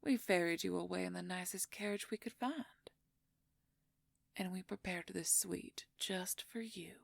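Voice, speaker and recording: Woman acting a husky, whispery, possessive werewolf schemer. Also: fairly low pitch, steady tone, no room sound, exaggerated voice. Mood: smug, courting. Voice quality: husky, breathy, creaky near-whisper. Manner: silky, condescending, slow and deliberate. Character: smug, possessive werewolf schemer, conquering royal betrothed. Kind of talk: audio roleplay acting, addressing the listener.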